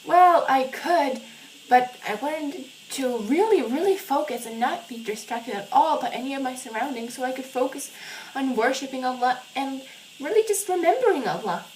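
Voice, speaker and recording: Mama, a woman doing a calm puppet mother. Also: sits high, moderate pace, slight background noise, low-quality recording. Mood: patient. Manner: calm, explaining patiently. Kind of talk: children's puppet show.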